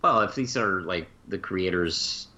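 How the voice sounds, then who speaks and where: Man chatting casually with lively pitch. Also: unhurried, highs cut off. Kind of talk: casual chat.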